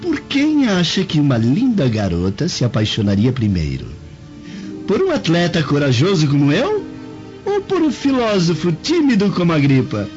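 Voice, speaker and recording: Cocky young roman noble, voice leaps all over, bit high, haughty radio play. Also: male voice, wildly swooping pitch, normal speed, darkish tone. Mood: boastful, taunting. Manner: haughty, taunting. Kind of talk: radio drama acting. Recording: muffled lo-fi, music underneath.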